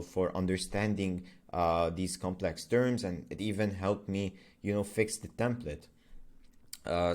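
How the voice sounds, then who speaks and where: A man's voice: low-pitched, a little mellow. A young man whose first language is Arabic, talking casually through his business journey in a loose, filler-heavy way, often correcting himself. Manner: loose and conversational, many fillers and self-corrections. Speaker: agency founder vlogger. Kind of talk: casual explainer vlog.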